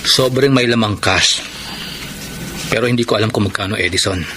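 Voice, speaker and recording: A man's voice: fairly low pitch, a little fast, natural voice. An actor with a Filipino accent playing an earnest, encouraging young man in a radio drama, eager and persuasive. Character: Baron, earnest, encouraging young male friend of a prisoner. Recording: noisy radio drama broadcast.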